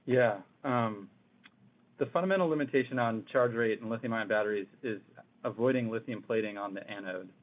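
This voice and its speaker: A man's voice: fairly low pitch, brisk. A man giving technical explanations as an executive on a business call. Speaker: technical executive answering questions. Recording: thin phone-line conference audio.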